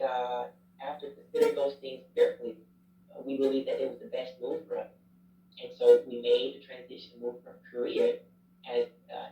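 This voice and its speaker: A man's voice: mid-range voice, warm tone. A man, a chaplain and former youth pastor, candidly and reflectively telling his story in a casual interview. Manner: candid, reflective, self-deprecating. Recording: roomy, distant, dull sound.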